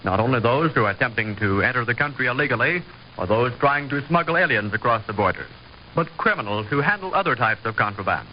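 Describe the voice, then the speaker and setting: A man's voice: mid-range voice, expressive, quick pace. A man narrating a scripted vintage radio series in a formal, declamatory announcer manner. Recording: dull, hissy vintage radio recording.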